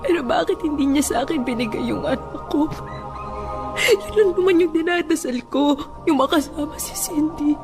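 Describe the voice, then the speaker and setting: A woman's voice: sits high, expressive, moderate pace, over music. A woman playing a grieving young wife in a Filipino radio drama, crying and pleading over her lost baby. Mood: anguished. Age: 20s.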